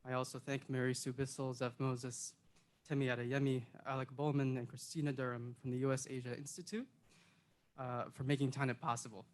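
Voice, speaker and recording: A young man from Illinois, a program intern, reading a prepared personal speech in an earnest, measured and reflective way. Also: mid-range voice, level tone, darkish tone. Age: young adult.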